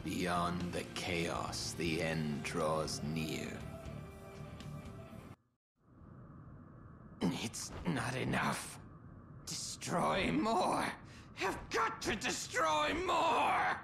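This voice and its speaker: An actor voicing a mad, nihilistic clown villain in a video game, theatrical and mocking, swinging from singsong to shrieks. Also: male voice, medium pitch, very animated, very slow, exaggerated voice. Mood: frenzied. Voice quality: creaky, cackling. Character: manic nihilistic male mad clown villain obsessed with destruction. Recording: loud music under the voice.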